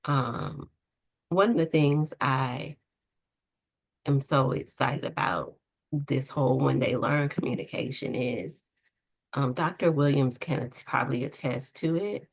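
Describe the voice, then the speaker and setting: Female host, unhurried pace, gravelly fry, halting delivery, informal. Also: a bass voice. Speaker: event host. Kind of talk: informal event hosting.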